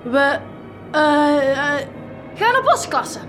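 A coaxing, hurried village schoolteacher from a horror comedy radio play. Her voice is high and very animated, with music behind it. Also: full character voice. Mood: coaxing, nervous. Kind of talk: comic horror radio acting.

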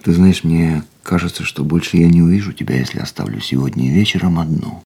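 Radio drama jealous lover, very low register, brooding and earnest, soft dark timbre. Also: male voice, a little fast, vocal fry, breathy edge, exaggerated voice. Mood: earnest, urgent. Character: lovesick, jealous man pleading with his beloved. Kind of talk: radio play acting with reflective narration. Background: music.

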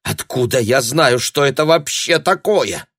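A man's voice: fairly low pitch, sing-song, measured pace, husky, no room sound, clean studio sound, pitched above the performer's own voice, full character voice. A man voicing an outspoken, indignant female shop assistant in an audiobook, with a forthright, exasperated manner. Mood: angry, astonished.

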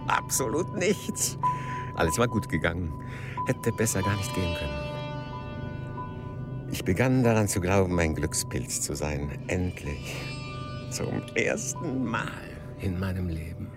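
An actor in a German radio drama playing an evasive, secretive middle-aged husband who also narrates, in a dry, wry manner. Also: male voice, sits low, sing-song, unhurried, over loud music. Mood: wry, reflective.

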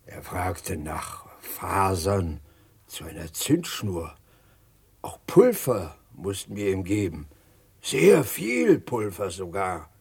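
Elderly tribesman for radio drama: low, slow, gravelly, earnest and solemn. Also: very animated, heavily acted. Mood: earnest recounting. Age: older. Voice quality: husky, gravelly. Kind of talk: German radio drama acting.

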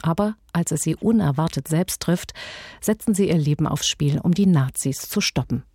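A female radio announcer reading a scripted programme introduction. Her voice is low and soft-toned, recorded very dry and close. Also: normal speed, husky, no echo.

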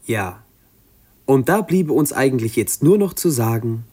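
A male actor plays a playful jester in vintage radio theatre. His mocking asides leap widely in pitch, with loud music behind. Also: animated, unhurried, close to an everyday voice. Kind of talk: 1950s German radio play acting.

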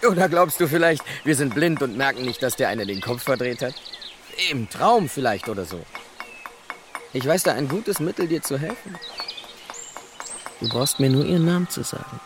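A man's voice: medium pitch, expressive, normal speed, pauses often, noisy background, close to an everyday voice. An actor playing a sly, prying mill journeyman in a German radio play, teasing and insinuating as he talks. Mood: sly, needling. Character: sly, prying male mill journeyman, needling and falsely helpful.

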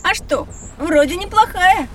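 A curious, cheeky little boy in a children's learning audio play. The voice is high and bright, a little off the mic. He speaks eagerly, in short exclamations. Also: animated, unhurried, far from the mic, a little hiss or hum, full character voice.

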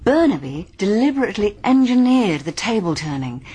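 A woman's voice: medium pitch, sing-song, normal speed, mellow, slightly rough. An actress playing a keen, clever young woman sleuth with an English accent in a radio mystery drama. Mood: eager, explaining. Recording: faint background noise.

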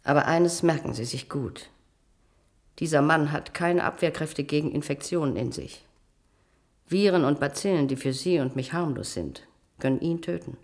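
Low-voiced, stern institutional matron. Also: female voice. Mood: coldly stern. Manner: authoritative, brisk, condescending. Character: strict, cold institutional matron with a callous streak. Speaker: head matron instructing a new attendant. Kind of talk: German radio play acting.

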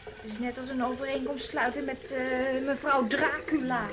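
A woman's voice: high voice, flat delivery, moderate pace, background noise, natural voice. An actress playing a cheeky, defiant rich teenage girl in a naturalistic radio drama, with a pert, scornful manner.

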